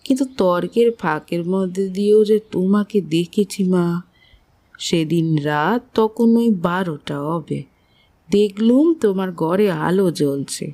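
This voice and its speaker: A woman playing a caring, plain-spoken older motherly matron in a literary audio drama, with a gentle, concerned, homely manner. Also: lowish voice, unhurried, natural voice. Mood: tender concern.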